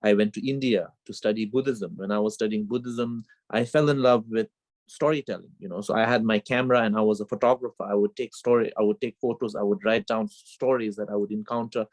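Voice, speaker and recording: A man's voice: lowish voice, fairly even intonation, a little fast, darkish tone, dry recording. A middle-aged man from Bhutan, a film director telling his story earnestly on a video call from home, repeating phrases and saying "you know" often. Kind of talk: remote interview, reflective storytelling. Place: home with children nearby.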